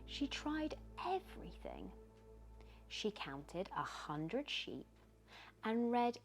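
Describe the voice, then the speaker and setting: A woman reads a children's bedtime story aloud in an expressive, gentle storytelling manner. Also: fairly low pitch, creaky. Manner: expressive, gentle storytelling with questions posed to the listener. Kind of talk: bedtime story read aloud with short asides.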